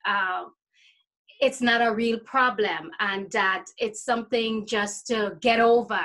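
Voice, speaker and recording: Measured, bright-voiced Trinidadian woman. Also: a little high. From Trinidad and Tobago. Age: 40s. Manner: hesitant, measured, pausing to find words. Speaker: interview guest, life coach. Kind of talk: casual interview answers.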